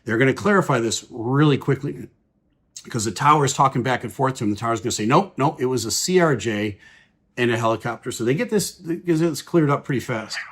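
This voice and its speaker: A man, a pilot, calmly explains air traffic radio calls in measured commentary with frequent pauses. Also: mid-range voice, slightly rough.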